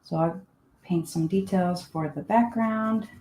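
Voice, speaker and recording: A woman's voice: on the low side, unhurried, dark tone. A woman from Finland teaching a live painting class from her desk, talking casually and thinking aloud as she works. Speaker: art teacher. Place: home desk. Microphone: live online class setup. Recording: roomy echo.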